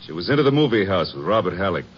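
An actor playing a controlling, heavy-browed rancher who speaks curtly, in a 1950s radio drama. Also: male voice, sits low, very animated, rapid-fire. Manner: curt, controlling. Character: domineering heavy-browed rancher father, gruff. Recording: muffled old radio broadcast with hiss.

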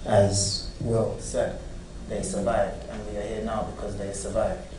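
Earnest low-voiced man, echoey room. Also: completely flat delivery. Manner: earnest, deliberate, emphatic. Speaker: actor being interviewed. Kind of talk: press junket interview. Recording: echoey room, distant mic, noisy.

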